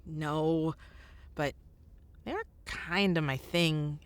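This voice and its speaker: A woman playing an earnest, slightly awkward young woman, halting and self-effacing, in a naturalistic audio drama. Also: deep voice, no room sound.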